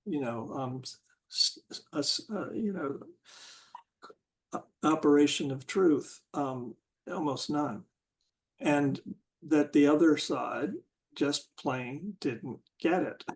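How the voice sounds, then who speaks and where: A man in a casual group discussion, talking haltingly and searching for words with many restarts. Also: fairly high pitch, low-quality recording.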